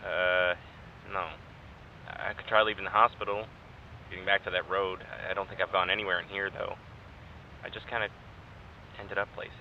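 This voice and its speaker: A man acting a wry, hesitant test subject in an audio drama, speaking haltingly and naturally. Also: deep voice, level tone, natural voice. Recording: dull lo-fi microphone, faint noise.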